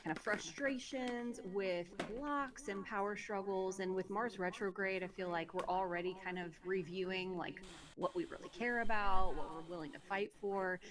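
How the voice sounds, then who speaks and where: A woman's voice: mid-range voice, low-quality recording. A woman guesting as an astrologer, speaking in a reflective way with many fillers like "kind of" and "like.". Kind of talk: casual discussion.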